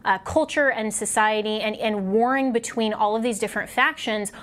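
A woman's voice: fairly high pitch, moderate pace, a bit bright. Earnest woman hosting political talk. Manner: articulate, earnest, conversational with small laughs. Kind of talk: political talk show discussion.

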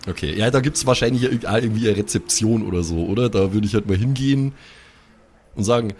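A man's voice: lowish voice, fast, a little mellow, slight background noise. A man improvising as a player in a tabletop role-playing game, chatting casually in colloquial slang.

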